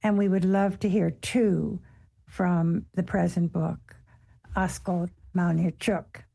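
A woman's voice: lowish voice, steady tone, slow, creaky, mellow, slightly rough. A woman hosting a literary interview, speaking to her guest as interviewer. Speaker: interviewer, host.

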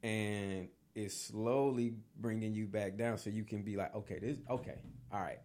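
A man's voice: lowish voice, fairly even intonation, moderate pace, darkish tone. A man hosting a casual chat, reflective and affirming, who draws out his phrases.

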